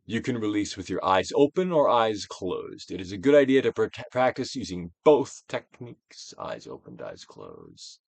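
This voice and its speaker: A man giving a dramatic, Shakespearean-style reading of a self-help book, deliberate and theatrical, with drawn-out emphasis. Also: big pitch swings, gravelly, no echo. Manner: theatrical Shakespearean delivery, swinging from hushed to booming. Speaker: audiobook reader.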